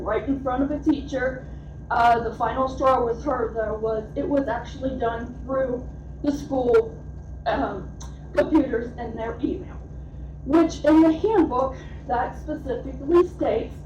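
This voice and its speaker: A woman in her thirties or forties addresses a school board during public comment, earnest and emphatic. Her voice sits high and mellow. The sound is distant, dull and roomy, with a faint hiss. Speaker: parent speaking at public comment. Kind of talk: prepared public statement at a meeting. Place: school board meeting room. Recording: distant, echoey, dull meeting-room sound.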